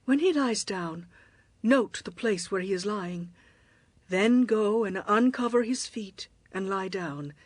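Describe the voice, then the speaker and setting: An actress playing a wise older mother-in-law in a dramatized scripture reading, husky and measured, gently giving direction. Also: mid-range voice, expressive, dry recording. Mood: gently instructing.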